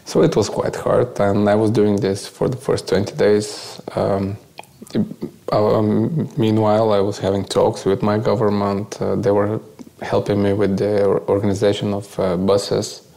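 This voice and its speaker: Low, gravelly man with Bulgarian accent. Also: level tone, a little slow, warm tone. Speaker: interview guest, volunteer. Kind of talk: conversational first-person account.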